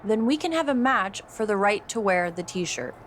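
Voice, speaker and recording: A woman's voice: mid-range voice, expressive, normal speed, a little hiss or hum, lightly acted. A woman playing a vain, self-absorbed young pro wrestler in a video game, breezy and gushing with a sugary passive-aggressive edge. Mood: breezy, smug. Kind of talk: video game cutscene acting.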